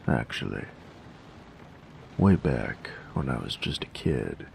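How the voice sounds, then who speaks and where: A jaded bandit acted by a man drawls slowly in slangy, folksy speech for a roleplay audio drama. The voice is rock-bottom deep and thick with gravel, sardonic and gruff. There is faint noise behind it. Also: exaggerated voice. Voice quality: gravelly, very low voice. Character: gruff, jaded male bandit who grew up drifting with a carnival.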